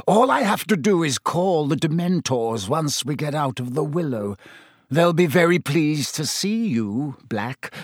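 A man's voice: a little high, breathy edge, no room sound, studio quality, pitched above the performer's own voice, full character voice. A man voicing a sneering, vengeful schoolteacher with a silky, menacing, contemptuous manner in an audiobook. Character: sneering, triumphant, fanatical male schoolteacher bent on vengeance.

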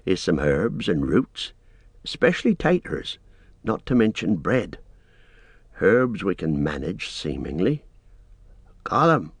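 A man voicing a plain-spoken, stubborn hobbit servant in a storybook, with a gruff, homely, scolding manner. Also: sits low, sing-song, slow pace, long pauses, no echo, exaggerated voice. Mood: homely, coaxing. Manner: gruff, homely, scolding, rustic turns of phrase.

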